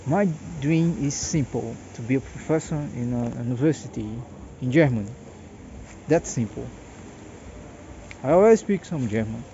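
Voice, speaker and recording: A tired Brazilian man in his late thirties with a Portuguese accent, talking slowly and haltingly to camera in a personal monologue, often restarting his words. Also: mid-range voice, animated, warm tone. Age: 30s. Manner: hesitant, halting, repeats words. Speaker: vlogger. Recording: dull lo-fi audio with background noise.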